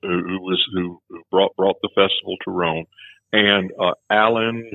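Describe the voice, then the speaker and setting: A man's voice: low voice, fairly even intonation, moderate pace, vocal fry, no room sound. A man, a former film festival director, telling stories in a rambling way, full of restarts, recorded with a tinny phone-like sound. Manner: rambling, many restarts, chuckles at his own stories. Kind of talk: casual conversation.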